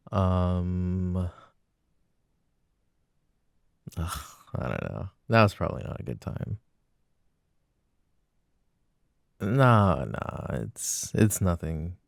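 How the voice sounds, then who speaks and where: A man's voice: deep voice, lively intonation, slow pace, gravelly, no room sound, exaggerated voice. A man playing a loving but jealous boyfriend in an intimate, hesitant roleplay ASMR performance on a close microphone. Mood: hesitant, reluctant. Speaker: voice actor.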